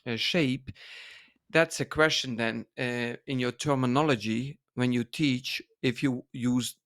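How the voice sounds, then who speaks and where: A man with a Dutch accent, speaking English as a second language, talks in a podcast conversation. He pauses and hunts for words, and his voice sits at a middling pitch. The sound is close and dry, with no echo. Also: steady tone, fairly bright tone. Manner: halting, searching for words. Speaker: podcast guest, dance author. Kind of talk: casual conversation.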